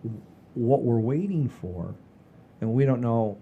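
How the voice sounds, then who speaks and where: An older man hosting a casual podcast, rambling in a folksy, halting way with repeats and the odd mild swear. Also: mid-range voice, lively intonation, breathy edge, phone-quality sound. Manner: folksy, mildly profane, halting and repetitive. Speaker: podcast host.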